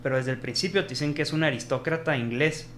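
A man's voice: fairly high pitch, fast talker, a little hiss or hum. A man with a Mexican accent in a casual film discussion, retelling scenes and acting out the characters' quoted lines. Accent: Mexican Spanish. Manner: animated storytelling, acts out quoted dialogue, frequent restarts.

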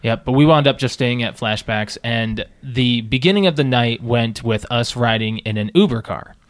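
A man's voice: mid-range voice, normal speed, dry recording. A man co-hosting a podcast, chatting casually and rambling through stories. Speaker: podcast co-host. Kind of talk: casual chat.

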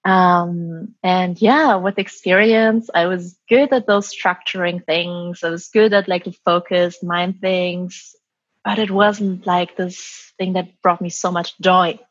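Breathy woman guest, slightly low voice, reflective, lotta "like"s, lo-fi dry audio. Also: fairly even intonation, slow pace, dry recording. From Germany and Taiwan. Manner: reflective, many "like" and "um" fillers. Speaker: guest, former business consultant. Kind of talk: reflective interview answers.

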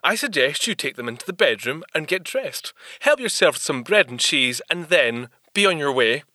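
Reserved 1930s British gentleman, courteous and formal. Fairly high, bright male voice for audio drama. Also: very animated, normal speed, dry recording, studio quality. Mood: coolly indignant. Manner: polite, formal, restrained. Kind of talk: full-cast audio drama acting.

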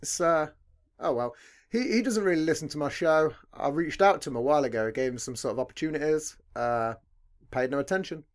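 A man with a deep, heavy voice hosting a podcast, chatting in a laid-back, rambling way and swearing casually. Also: a little fast. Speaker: podcast host. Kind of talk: casual chat.

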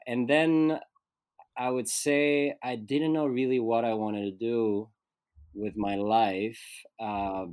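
A man from Switzerland sits as a guest on a casual interview, talking about his life in a low, unhurried voice. He pauses to find his words and speaks thoughtfully. The sound is close and dry, with no room around it. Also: a little mellow, no room sound. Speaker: guest actor.

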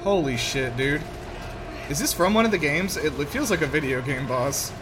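A man with a lively, slightly high voice co-hosts a casual watch-along. He is wry, exclamatory and profane, and his pitch moves around a lot. Music plays clearly behind him. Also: normal speed. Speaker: podcast co-host. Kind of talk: casual film-watching commentary.

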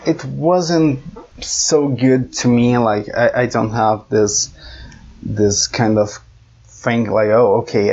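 A young man with a Brazilian Portuguese accent, speaking English as a second language, halting and searching for words. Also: lowish voice, darkish tone, lo-fi sound. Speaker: conversation partner. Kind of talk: casual chat.

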